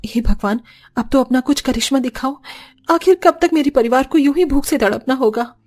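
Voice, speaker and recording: A kind, devout young mother from a cartoon tale, acted by a woman. Her voice sits a little high. She talks with humble, earnest politeness. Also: moderate pace, a little mellow. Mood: anguished prayer. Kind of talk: Hindi cartoon story voice acting.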